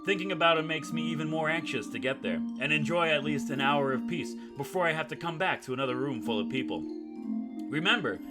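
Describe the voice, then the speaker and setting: A man's voice: on the high side, lively intonation, brisk. A man hosting a gaming video, reading a visual novel aloud like a storyteller and dropping in casual, jokey commentary. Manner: jokey asides, dramatic storytelling read. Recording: music playing behind the voice.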